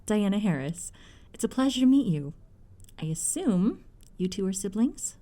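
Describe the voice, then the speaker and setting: A woman's voice: mid-range voice, big pitch swings, normal speed, warm tone, lightly acted. A woman playing a bubbly, devout middle-aged mother in an audio roleplay, gushing, playful and affectionate. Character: bubbly, devout, teasing mother, soon a grandmother.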